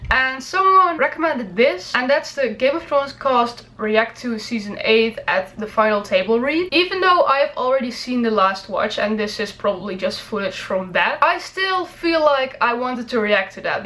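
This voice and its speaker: A woman's voice: on the high side, lively intonation, moderate pace, few pauses, pushed and bright. A woman hosting a casual reaction video, speaking as an enthusiastic, gushing fan.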